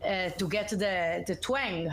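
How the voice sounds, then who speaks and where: A woman's voice: on the low side, slow pace, a bit bright, a little hiss or hum. A woman interviewer asking questions in a casual, informal and hesitant way.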